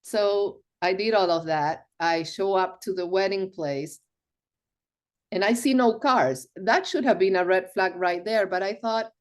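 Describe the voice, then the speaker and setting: A woman's voice: medium pitch, measured pace. A middle-aged woman from Argentina, a podcast guest recounting personal stories over a remote call. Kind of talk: storytelling interview.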